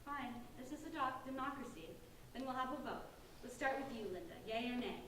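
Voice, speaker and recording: Echoey, high-pitched earnest teenage girl acted by a woman. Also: animated, brisk. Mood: earnest, firm. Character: Sarah, earnest determined sixteen-year-old girl leading her friends. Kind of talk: staged reading of a play. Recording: distant echoey room, faint noise.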